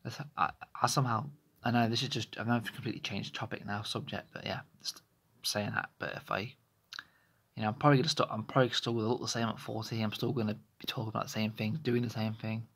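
A man's voice: on the low side, little pitch movement, fast, fairly bright tone, breathy edge. A man in his thirties talking candidly into his phone in a small bedroom, hesitant and rambling with many restarts. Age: 30s. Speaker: vlogger. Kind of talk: candid personal vlog monologue. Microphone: phone held close to face.

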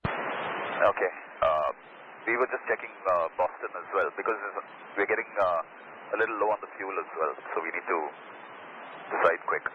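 A man speaking as an airline pilot over aviation radio, in halting, hesitant phrases. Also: low voice. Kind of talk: radio exchange with air traffic control. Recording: muffled lo-fi cockpit radio, loud static.

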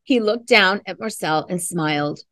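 A woman's voice: lowish voice, lively intonation, normal speed, fairly bright tone, no echo, clean studio sound. A middle-aged woman reading a picture book aloud to children, expressively, stopping to explain and to prompt listeners with questions. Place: classroom, indoors.

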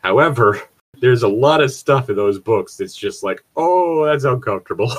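A man's voice: medium pitch, lively intonation, a little slow. A man chatting casually and bluntly, swearing freely and arguing his points. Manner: profane, argumentative. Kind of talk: casual opinionated chat.

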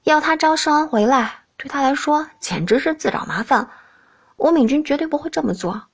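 A woman's voice: high-pitched, expressive, a little fast. A woman reading scripted first-person fiction as an audiobook narrator.

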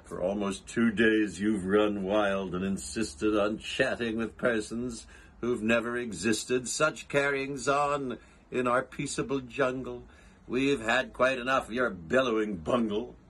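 Man playing a snappish, husky, bossy mother kangaroo. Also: medium pitch, fairly even intonation, measured pace, fairly bright tone. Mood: scornful. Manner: snappish, haughty. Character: big bossy scornful female kangaroo.

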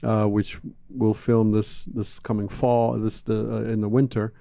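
A man's voice: on the low side, flat delivery, unhurried, dark tone, no echo. A man, talking casually and reflectively as an interview guest, close on a studio microphone. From Cuba. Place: small indoor studio.

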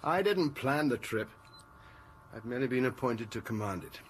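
An actor playing a grumpy, commanding spaceship captain in a radio drama, giving brisk, curt orders. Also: male voice, mid-range voice, animated, slightly rough. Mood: firm. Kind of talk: 1980s radio drama acting.

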